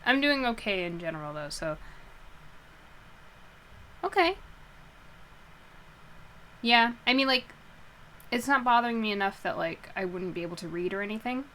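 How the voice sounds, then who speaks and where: A woman's voice: mid-range voice, expressive, normal speed, pauses often, a bit bright, a little hiss or hum, rough recording. Chatty woman, a little nasal. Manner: rambling, chatty, laughs easily. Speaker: live stream host and book reader. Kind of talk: casual chat with viewers before a reading. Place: at home in her bedroom. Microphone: headset while wearing headphones.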